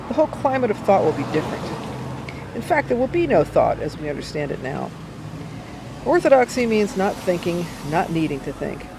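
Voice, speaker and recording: A woman reads an essay aloud outdoors, with deliberate, emphatic phrasing and pauses. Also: lowish voice, sing-song, vocal fry. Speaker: amateur reader. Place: outdoors by a cafe in town. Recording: noisy outdoor street recording, traffic sounds. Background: urban outdoor ambience, vehicles.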